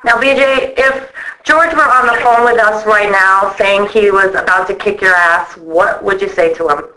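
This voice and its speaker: A woman's voice: mid-range voice, normal speed, sharp tone, slight background noise, rough recording. A woman asking questions in a casual phone interview, in an informal, chatty way.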